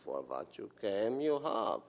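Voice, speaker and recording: Stern old German father, creaky, slow. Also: on the low side, sing-song. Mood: wounded, self-pitying. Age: older. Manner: emphatic, guilt-tripping, inverted foreign-sounding phrasing. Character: stern, self-pitying old German father, stubborn and grasping. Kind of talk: radio drama acting.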